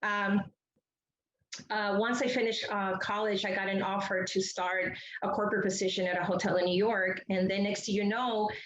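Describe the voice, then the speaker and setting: A woman's voice: mid-range voice, flat delivery, normal speed, a bit bright. A middle-aged woman from Ecuador giving a chatty, rambling interview answer as a guest, telling personal stories and often saying "you know". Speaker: interview guest, entrepreneur and public speaker. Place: remote, on a video call. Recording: roomy, muffled video-call audio.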